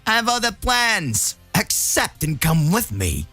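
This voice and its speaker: A man acting out a sinister alien tyrant from an anime-style story. He is smug and falsely courteous, drawing out his taunts in a swooping, bright voice over faint music. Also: high-pitched, wildly swooping pitch, unhurried, voice pushed up, full character voice. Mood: smug, taunting. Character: Frieza, smug sinister male alien tyrant, mockingly polite. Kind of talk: dramatised fan story.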